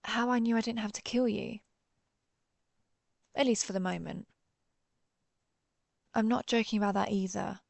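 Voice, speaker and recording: Woman playing a creaky-voiced, menacing vampire huntress. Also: medium pitch, steady tone, dry recording, natural voice. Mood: coolly threatening. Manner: deliberate, interrogating, dryly threatening. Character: centuries-old female vampire hunter, cool, menacing, sardonic. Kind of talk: scripted roleplay audio drama addressed to the listener.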